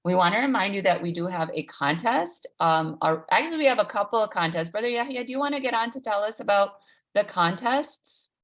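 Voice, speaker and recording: A woman's voice: mid-range voice, expressive, a little fast, a bit bright. Female event host, informal live announcements to kids on a video call, mid-range voice. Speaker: host of an online read-aloud event.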